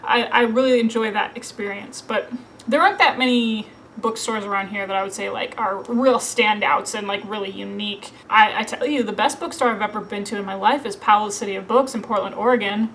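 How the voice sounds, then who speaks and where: A woman's voice: medium pitch, steady tone, a little fast, fairly bright tone, a little hiss or hum. A woman in her thirties from Minnesota, chatting casually to camera as a book vlogger, with lots of fillers and frequent laughs. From Minnesota, USA. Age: 30s.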